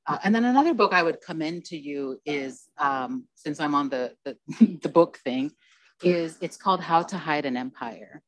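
A woman's voice: low-pitched, lively intonation, normal speed, rough recording. A woman on a conference panel, talking in an earnest, meandering way into a handheld mic on stage. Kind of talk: panel discussion.

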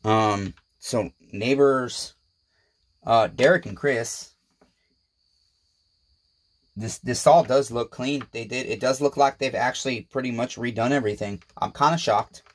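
A man's voice: medium pitch, unhurried, long pauses. A man in his forties hosting a small engine repair vlog, chatting folksily with his viewers from a cluttered home workshop. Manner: folksy, talks straight to viewers as neighbors, frequent fillers. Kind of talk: casual rambling vlog to viewers.

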